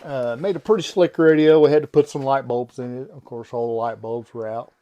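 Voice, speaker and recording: A man giving a casual product demonstration in a folksy, halting, unscripted way, as someone who works on radio gear. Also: medium pitch, steady tone, dark tone, lo-fi sound. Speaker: radio seller and technician demonstrating equipment.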